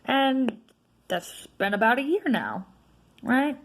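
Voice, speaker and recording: A woman vlogger with a naturally loud voice, venting in a casual, frustrated rant that is full of tag questions. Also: on the high side, expressive, slow. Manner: frustrated, ranting, frequent "right?" tags.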